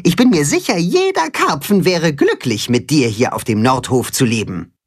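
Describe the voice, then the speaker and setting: Man voicing a high eager chicken hero. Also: big pitch swings, normal speed, background noise. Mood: encouraging. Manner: eager, caring. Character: brave kind young male chicken hero.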